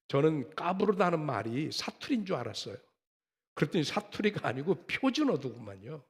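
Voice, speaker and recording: A man's voice: on the high side, vocal fry. A man who is a pastor preaching a sermon in a chatty, conversational way, asking rhetorical questions and pushing his voice up for emphasis. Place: church sanctuary. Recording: reverberant church hall.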